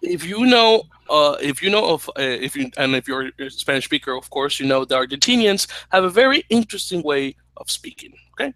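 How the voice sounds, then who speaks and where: A man's voice: a little high, expressive, brisk, few pauses, sharp tone, dry recording, rough recording. A young Dominican man co-hosting a podcast, explaining at length with an opinionated, chatty manner, on a headset mic in a small room at home. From Dominican Republic. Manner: explanatory, opinionated, restarts phrases. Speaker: podcast co-host. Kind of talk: casual chat.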